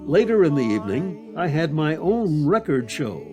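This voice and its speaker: Older male narrator, higher-than-average pitch, documentary reminiscence, former radio announcer, faint music. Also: very animated, normal speed, a little mellow.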